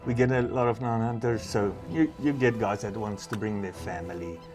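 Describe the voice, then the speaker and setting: A man with a South African accent talking casually in an interview as a professional hunter, in plain, slightly halting English. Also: fairly low pitch, steady tone, over music. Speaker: professional hunter, safari outfitter.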